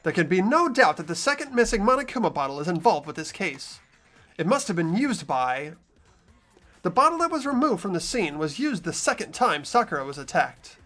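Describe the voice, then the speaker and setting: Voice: medium pitch, big pitch swings, a little fast, a bit bright, faint music behind. A performer voicing an earnest teenage boy investigator who argues through the evidence in a fan dub of a game. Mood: insistent.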